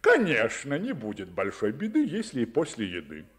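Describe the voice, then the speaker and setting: An actor playing an absent-minded, careless ship's doctor in a children's radio play, with theatrical, sing-song delivery. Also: male voice, mid-range voice, huge pitch swings, normal speed. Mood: fussy, muttering. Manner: theatrical, half-sung verse, muttering asides.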